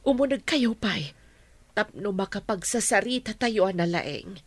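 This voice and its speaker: A woman playing a firm, grieving foster mother in an Ilocano radio drama, with deliberate, dramatic delivery. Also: medium pitch, expressive, dry recording. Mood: firm, composed. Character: firm, grieving single woman raising her friend's orphan.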